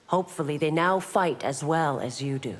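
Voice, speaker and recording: An actress playing a stern, resolute law officer and warrior in a video game, with a firm, formal manner. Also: female voice, low voice, normal speed. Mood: formal, dutiful. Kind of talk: video game heroine acting. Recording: reverberant arena with clear background noise.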